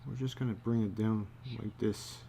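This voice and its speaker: A man giving a casual think-aloud commentary while he draws, muttering to himself in short, halting phrases. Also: lowish voice, fairly even intonation, vocal fry, warm tone. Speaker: storyboard artist giving a drawing demonstration. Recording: faint background noise.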